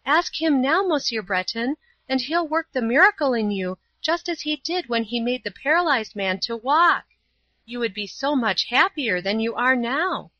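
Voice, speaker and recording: A woman's voice: fairly high pitch, lively intonation, normal speed, sharp tone, dry recording, dull audio. A woman doing an earnest pleading young girl. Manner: fervent, urgent pleading.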